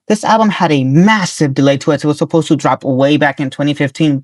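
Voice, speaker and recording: Hoarse man reviewing albums. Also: mid-range voice, no echo. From Nevada, US. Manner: reads quick notes, corrects himself, chuckles at slips. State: hoarse, worn voice. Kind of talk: casual scripted review.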